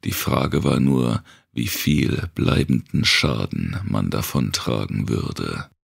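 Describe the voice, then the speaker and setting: A man narrating a dark thriller audiobook in a slow, deliberate, dramatic manner, with a gravelly, raspy voice. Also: a bass voice, expressive. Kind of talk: scripted narration of a dark post-apocalyptic thriller.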